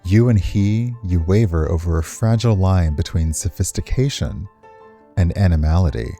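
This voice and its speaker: A man's voice: sits low, normal speed, mellow, faint music behind. A man reading visual novel text aloud expressively as a game streamer, slipping into casual commentary.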